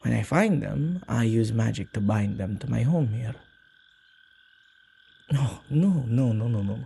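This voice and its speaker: A man playing a possessive yet soft-hearted male witch in an intimate ASMR roleplay, speaking gently and haltingly in slightly archaic phrasing. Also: lively intonation, heavily acted. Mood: patient, explaining. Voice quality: low, husky, creaky voice.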